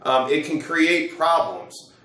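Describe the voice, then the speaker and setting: A middle-aged American man, an attorney explaining to camera in a measured, wordy way in an office. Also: on the high side, animated, pushed and bright. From United States. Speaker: attorney, presenter. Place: small wood-panelled office, indoors. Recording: roomy, echoing sound from a distance.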